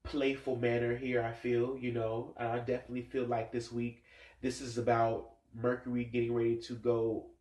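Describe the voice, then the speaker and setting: A man's voice: mid-range voice, completely flat delivery, moderate pace, a little mellow. Stuffed-up man musing over tarot cards. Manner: musing, rambling, many fillers. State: stuffed-up nose. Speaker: tarot reader. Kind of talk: casual tarot reading vlog. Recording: echoey room sound.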